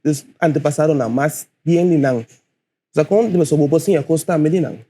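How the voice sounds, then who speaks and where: Man, twenties, poet guest, hesitant, slightly high voice. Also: fast talker, mellow, husky. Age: 20s. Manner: hesitant, searching for words. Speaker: spoken word poet guest. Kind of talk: casual interview answers.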